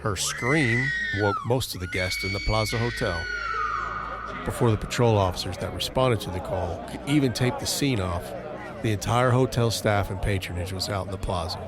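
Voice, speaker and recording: A man with a fairly low voice narrates a crime-horror audio drama. He tells it deliberately, with measured pauses, over clearly audible music.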